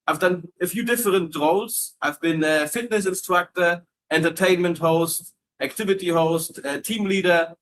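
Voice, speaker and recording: A man's voice: high-pitched, normal speed, fairly bright tone, a little hiss or hum. A man from Germany hosting a casual live Q&A about hotel entertainment jobs, friendly and full of fillers and restarts. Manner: friendly, filler-heavy, repeats words while reading comments. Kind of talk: casual live-stream chat.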